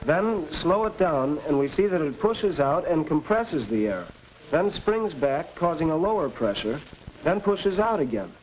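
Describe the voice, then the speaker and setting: Young American male patient science explainer, highish pitch, vintage 1940s classroom-film delivery, noisy track. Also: big pitch swings, measured pace, lo-fi sound. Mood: explanatory. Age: young adult. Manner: didactic, clearly explaining. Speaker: educational film actor. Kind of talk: 1940s instructional film acting.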